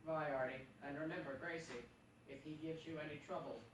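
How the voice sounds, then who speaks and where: A man playing a gentle, courtly gentleman in an old-time radio play on stage. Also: a little high, fairly even intonation, a little fast, natural voice. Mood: warm, genial. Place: theatre stage, live performance. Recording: very echoey stage recording, distant, noisy room.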